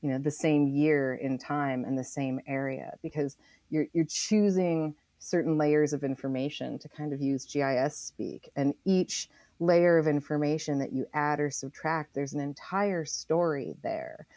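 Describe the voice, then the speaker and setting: A woman being interviewed as a writer, explaining her ideas conversationally and thoughtfully, with deliberate, emphatic phrasing. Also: low voice, warm tone, dry recording. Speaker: interview guest, environmental writer.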